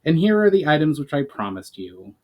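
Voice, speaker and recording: A man's voice: fairly high pitch, very animated, a little fast, darkish tone, voice pushed up. A man voicing an earnest male elf adventurer who speaks with formal courtesy in a fantasy storybook. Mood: grateful.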